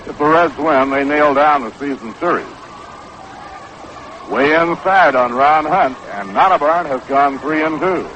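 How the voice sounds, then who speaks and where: An American man calling baseball play-by-play in 1960s radio style, with a measured, unhurried, factual delivery. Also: a little high, lively intonation, fairly bright tone. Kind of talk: 1960s live radio sports commentary. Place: ballpark broadcast booth. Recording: dull, noisy 1960s AM radio broadcast.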